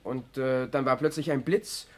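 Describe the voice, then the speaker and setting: A man's voice: mid-range voice, fairly even intonation, normal speed, darkish tone, lightly acted. An actor playing a talkative, agitated eyewitness in a German 1960s radio crime drama, hesitating and stammering as he speaks. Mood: shaken. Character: shaken, talkative male motorist, witness to an explosion.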